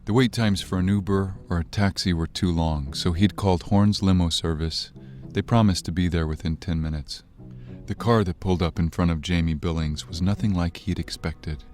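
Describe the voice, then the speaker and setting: A man's voice: deep voice, steady tone, normal speed, a little mellow, faint music behind. A man delivering scripted third-person narration for an audio drama. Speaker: story narrator.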